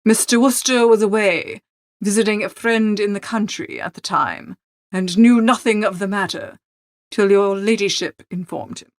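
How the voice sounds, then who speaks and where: A woman voicing a calm, deferential male valet in an audiobook, soft-spoken, formal and measured. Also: mid-range voice, dry recording, clean studio sound, lightly acted. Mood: smoothly deferential. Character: unflappable, deferential English valet, a male servant. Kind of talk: audiobook character acting.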